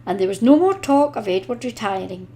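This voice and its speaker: Female storybook narrator for young children, higher voice, animated melody, deliberate delivery. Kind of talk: expressive scripted storybook narration.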